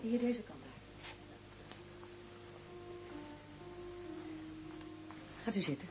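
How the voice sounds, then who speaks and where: A woman's voice: medium pitch, lively intonation, moderate pace, close to an everyday voice, poor audio. An actress in a radio drama playing a guarded, maligned woman, terse, weary and pointed. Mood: reserved. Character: guarded, wry woman, maligned lover of a prisoner.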